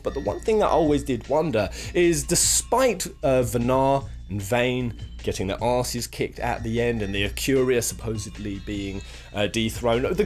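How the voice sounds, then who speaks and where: Animated man hosting over background music. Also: medium pitch. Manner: conversational, informal with swearing. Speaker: video game countdown host. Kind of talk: casual commentary on game lore. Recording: music playing behind the voice. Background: game soundtrack music.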